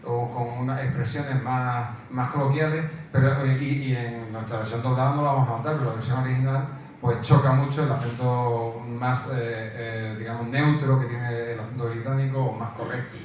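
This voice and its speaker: A man's voice: medium pitch, fairly even intonation, moderate pace, darkish tone. A man co-presenting a film in informal commentary, hesitating and repeating himself as he searches for words. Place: indoor room, presenting to an audience. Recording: very echoey room, distant mic, faint noise.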